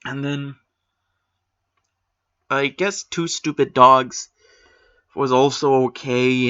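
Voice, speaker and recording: A man's voice: medium pitch, pushed and bright. Opinionated man, dull lo-fi audio. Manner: critical, matter-of-fact, with sarcastic asides. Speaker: commentator. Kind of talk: opinionated commentary on a blog post.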